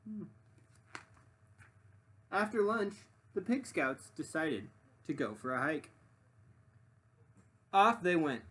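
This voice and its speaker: A man reads a children's story aloud like a teacher, swinging widely in pitch. His voice is a little husky, and he pauses to muse and prompt his listeners. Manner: animated, pausing to muse and prompt. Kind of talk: expressive children's story narration with questions to listeners.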